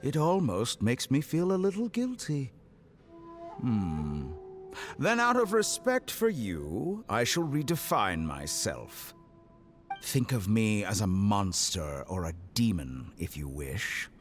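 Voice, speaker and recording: Casting: game villain, a cold, condescending doctor, low-voiced, extremely animated, quick. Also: male voice, soft background music, full character voice. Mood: mocking, menacing. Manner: theatrical, condescending, mock-polite. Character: suave, mocking, coldly theatrical villainous male doctor. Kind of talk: dramatic video game voice acting.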